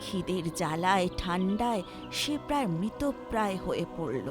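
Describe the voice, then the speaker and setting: A woman narrating a scripted children's story with an expressive storybook delivery. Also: on the low side, normal speed, over music.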